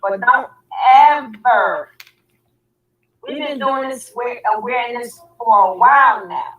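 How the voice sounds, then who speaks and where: A woman with a hoarse, raspy voice hosting a casual livestream chat. She laughs often and keeps repeating her words. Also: fairly high pitch, slow pace, narrow, tinny audio. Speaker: livestream host.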